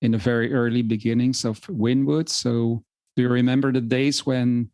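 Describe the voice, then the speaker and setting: A male podcast host with a gravelly, fry-edged voice introduces his guest in an upbeat way. He often starts with "well" and calls things amazing. His pitch sits in an ordinary middle range. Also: little pitch movement, normal speed, a little mellow.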